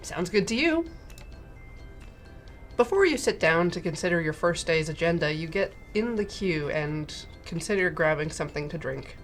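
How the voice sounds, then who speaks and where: A woman's voice: lowish voice, animated, normal speed, faint music behind. A woman co-hosting a game stream, chatting casually and reading game text aloud. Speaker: streamer and co-host.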